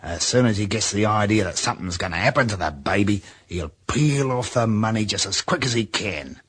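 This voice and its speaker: An actor in an old-time radio drama playing a bossy, scheming, scornful kidnapper with an Australian accent and a husky voice. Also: male voice, lowish voice, lively intonation, a little fast, a bit bright.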